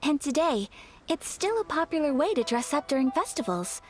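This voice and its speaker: A young noble lady for an anime game, in a high, delicate register. She is courteous and refined, modest in every phrase, over soft background music. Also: sing-song, moderate pace. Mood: gentle. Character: gentle, polite young noblewoman of a famous clan. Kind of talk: anime-style video game voice acting.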